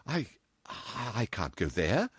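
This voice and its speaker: A polite young secretary in an audiobook, a woman played by a male reader. She is halting and easily flustered, softly lifting the pitch with lively swings. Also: no echo, studio quality. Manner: hesitant, halting, stammering politeness.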